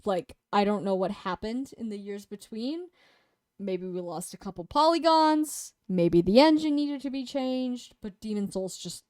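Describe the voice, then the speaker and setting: A woman's voice: mid-range voice, expressive, unhurried, darkish tone, dry recording, clean studio sound. A young woman co-hosting a casual game review chat, rambling with lots of fillers and swearing, a bit sniffly and losing her voice. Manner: rambling and profane, with many fillers. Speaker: co-host reviewing games.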